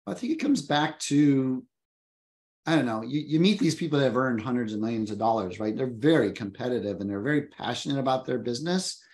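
A man's voice: mid-range voice, lively intonation, a little fast, darkish tone. A man in his fifties, a real estate agent, speaking earnestly on a panel over a video call from his office, often repeating words as he thinks. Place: home office. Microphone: video call webcam.

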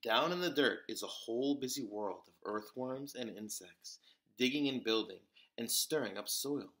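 A man reading a children's picture book aloud as a storyteller, with deliberate, dramatic emphasis. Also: mid-range voice, expressive, fairly bright tone. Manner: deliberate, dramatic emphasis with occasional whispers and exclamations.

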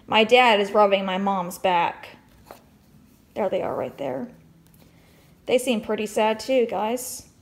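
A woman's voice: mid-range voice, takes it slowly, creaky, long pauses. A woman reading a storybook aloud expressively as a teacher, with explanatory asides and questions for children.

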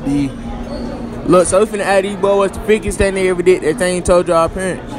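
A teenage boy with a somewhat high voice runs a casual interview at his school. He talks loosely in slang and speaks into a phone he holds up. Students chatter loudly behind him in the hall. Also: fairly even intonation, brisk. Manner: loud, slangy, laughing a lot. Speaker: host. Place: school hallways and gym, indoors. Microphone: phone held up to mouth. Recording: noisy with chatter of a crowd behind.

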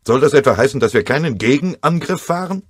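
A man's voice: medium pitch, animated, fast, no echo, studio quality, pitched above the performer's own voice, lightly acted. Man doing an indignant, defiant army officer. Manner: pressing, challenging questions.

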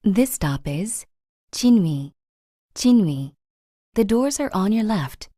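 Female station announcer, very unhurried and formal, with melodic pitch movement. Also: big pitch swings, warm tone, no room sound, clean studio sound. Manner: measured, formal, carefully enunciated. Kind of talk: scripted transit announcement.

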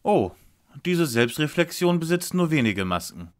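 A man playing a bossy, measured young lead detective in a parody detective audio drama. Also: fairly high pitch, big pitch swings. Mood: impatient.

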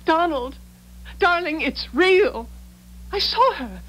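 An actress playing a fragile, earnest and insistent wife in a 1970s radio drama, halting and pleading. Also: female voice, very high-pitched, lively intonation, a bit bright, heavily acted, rough recording.

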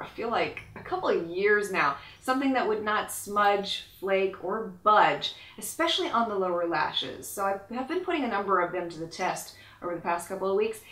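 A woman's voice: mid-range voice, fairly bright tone, reverberant. A young woman doing an upbeat beauty product review to camera, with bubbly, emphatic delivery. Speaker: beauty vlogger. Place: small pink-walled room, indoors.

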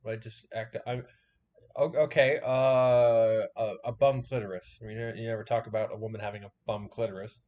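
A man's voice: lowish voice, level tone, normal speed, highs cut off. A man giving casual, profane reaction commentary in a blunt, joking, rambling manner.

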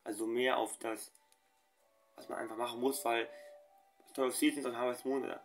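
Young male let's play host, mid-pitched, rambling with lots of fillers, faint game music underneath. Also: flat delivery, normal speed. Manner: rambling, many fillers and restarts. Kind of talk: casual let's play commentary.

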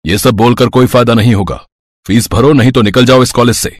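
A man voicing a stern, dismissive authority figure in an animated moral story, husky, curt and demanding. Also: mid-range voice, a little fast, dry recording, studio quality, lightly acted.

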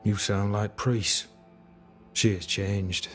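An actor playing a despairing, fatalistic fort captain in a video game, speaking in formal, doom-laden phrases with bitter grandeur. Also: male voice, on the low side, little pitch movement, soft background music. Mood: grim, doom-laden. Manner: slow, grim, doom-laden formal speech. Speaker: military officer character in a video game. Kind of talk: dramatic video game acting.

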